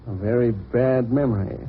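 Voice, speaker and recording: Sardonic police inspector, dull old recording. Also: male voice, mid-range voice. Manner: smooth-talking, needling, mock-polite questioning. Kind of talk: old-time radio drama acting.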